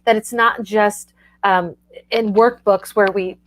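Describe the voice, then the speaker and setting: A woman's voice: mid-range voice, steady tone, slow pace, a bit bright, rough recording. A woman hosting a casual book chat over a video call, effusive and talking with many fillers.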